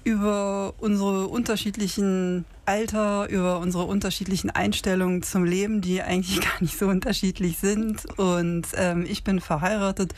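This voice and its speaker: A woman in her fifties co-hosting a casual podcast, telling a story in a lively way with fillers and short pauses. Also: mid-range voice. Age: 50s. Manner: animated retelling with many fillers. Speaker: podcast co-host.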